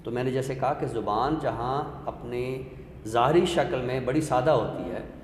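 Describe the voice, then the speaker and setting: A man giving an explanatory literary talk, deliberate and didactic, repeating his points for clarity. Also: medium pitch. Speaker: literary expert guest on a discussion show. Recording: echoey hall-like room with noise.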